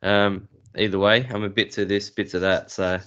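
A man's voice: lowish voice, little pitch movement, normal speed, fairly bright tone, smooth, muffled recording. An Australian man being interviewed on a podcast, talking casually with dry, self-deprecating humour. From New South Wales, Australia. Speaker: podcast guest.

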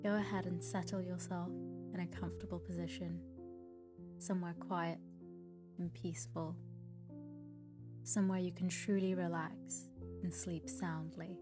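A woman leading a guided sleep meditation in a soft, breathy voice, calm and gentle, with long pauses between short phrases. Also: lowish voice, music in the background. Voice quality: soft, breathy, near-whisper at times. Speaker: meditation guide, podcast host. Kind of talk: guided sleep meditation, slow scripted narration.

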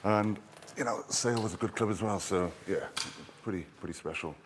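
A man's voice: low voice, fairly quick, a little hiss or hum. A man in his thirties from Wales, a rugby player guest chatting casually and rambling with plenty of fillers. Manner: easygoing, rambling with many fillers. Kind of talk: casual interview chat.

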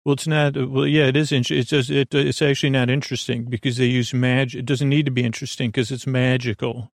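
Male sleep-podcast host, heavy vocal fry, dry close sound, wandering and halting delivery that loops back on itself. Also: mid-range voice, flat delivery, no echo. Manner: meandering, halting, repeats and trails off. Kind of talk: rambling bedtime monologue.

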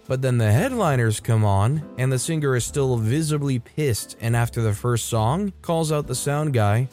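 A male narrator reads online stories in a scripted storytime style. His voice sits at a middle pitch and he leans on key phrases with emphasis, while music plays underneath. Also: darkish tone.

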